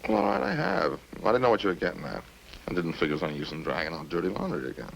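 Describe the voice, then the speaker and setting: A man's voice: low-pitched, sing-song, rapid-fire, gravelly, heavily acted, rough recording. An actor playing an evasive male ex-convict suspect in a 1950s radio crime drama, full of indignant, slangy denials. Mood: cornered, defensive. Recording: hissy old-time radio recording.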